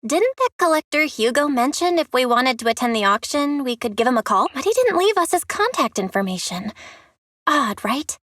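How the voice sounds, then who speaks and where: An actress playing a lively, sceptical young woman in a video game, with a teasing, expressive delivery. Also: sits high, moderate pace, slight background noise. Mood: puzzled, teasing.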